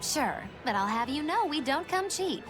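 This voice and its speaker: Sly female magician, high voice, grandiose, teasing, sardonic, anime video game dialogue, faint music. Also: sing-song, moderate pace. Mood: haughty, sly. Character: theatrical, sly young female magician with mocking airs.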